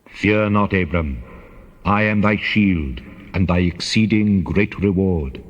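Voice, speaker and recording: A man voicing God as a solemn, commanding divine figure, declaiming deliberately in a dramatized scripture reading. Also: deep voice, highs cut off, faint music behind. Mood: reassuring, majestic. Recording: long cavernous reverb.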